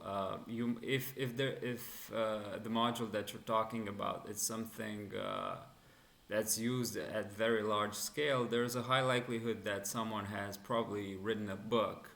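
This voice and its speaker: A man's voice: medium pitch, vocal fry, a bit bright. A man from Romania hosting a live coding and security stream, talking casually with many fillers and restarts while he answers chat questions. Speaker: livestream host teaching cybersecurity. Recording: echoey room.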